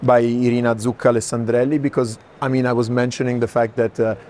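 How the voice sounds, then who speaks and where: A man's voice: mid-range voice, flat delivery, fast, darkish tone. A man moderating an unscripted walking conversation about art. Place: walking through an art fair. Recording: clear background noise of busy fair.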